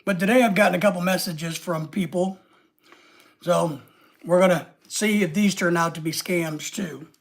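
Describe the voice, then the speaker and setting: Gravelly high-voiced man chatting on a live stream. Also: normal speed. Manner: rambling, chatty, reads out viewer comments. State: stuffy head cold. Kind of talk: casual live stream chat.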